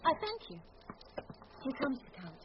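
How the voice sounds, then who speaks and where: A woman's voice: high voice, wildly swooping pitch, normal speed. An actress playing a young woman disguised as a loyal page boy, courteous and earnest, in Shakespearean radio drama. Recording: dull recording with faint ambient noise.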